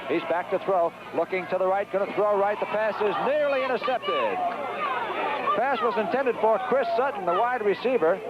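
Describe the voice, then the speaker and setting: An American man giving live radio play-by-play commentary of American football, animated and urgent in short clipped phrases. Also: high voice. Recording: dull, noisy 1970s radio broadcast recording.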